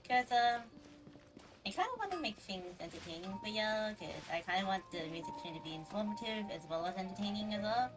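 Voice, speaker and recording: A woman's voice: mid-range voice, lively intonation, brisk. A woman game streamer chatting casually on a livestream in a hesitant, rambling way. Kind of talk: casual livestream chat over gameplay. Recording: distant microphone under game music. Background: game music.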